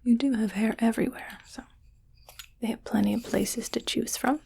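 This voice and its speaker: A woman voicing a haughty, fussy alien collector in a breathy, whispered ASMR roleplay, with a condescending manner. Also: a little high, fairly even intonation, a little mellow, exaggerated voice. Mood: condescending, stern. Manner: slow, deliberate, condescending.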